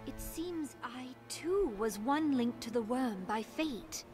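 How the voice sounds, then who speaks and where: Solemn, mysterious fantasy woman, higher-pitched. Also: lively intonation, over music. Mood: solemn, searching. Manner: slow, measured, formal. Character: earnest, sorrowful mysterious woman bound by fate. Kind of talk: scripted fantasy game dialogue, archaic English.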